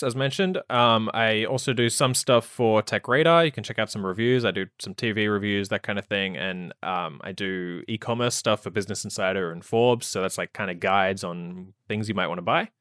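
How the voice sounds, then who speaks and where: A man with a muted Australian accent, a guest on a tech podcast, talking in a relaxed, easygoing way. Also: lowish voice, moderate pace, hardly any pauses, clear, resonant tone, no room sound, clean studio sound. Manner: relaxed, easygoing, laughs a lot. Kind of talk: casual interview chat.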